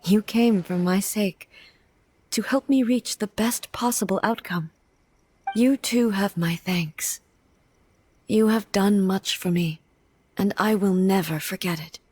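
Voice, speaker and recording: A woman voicing a composed, regal female deity ruler in a game, speaking in a measured, formal and dignified way. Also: medium pitch, a little mellow, slightly rough. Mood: solemn. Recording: game audio with faint music behind.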